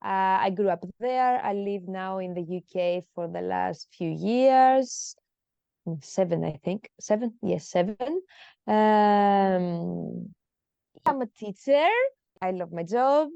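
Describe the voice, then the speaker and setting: A woman's voice: mid-range voice, slow pace, vocal fry, dark tone, clean tone, no echo, muffled recording. A woman in her thirties from Greece, speaking as a podcast guest in a hesitant, emotional way with many fillers. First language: Greek. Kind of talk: personal interview.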